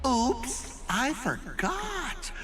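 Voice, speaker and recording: A man's voice: very high voice, wildly swooping pitch, extremely slow, heavily acted. An actor playing a theatrical, mocking villain who gloats over his victims in an anime game. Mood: gloating, mocking. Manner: mocking, grandiose. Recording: music behind the voice.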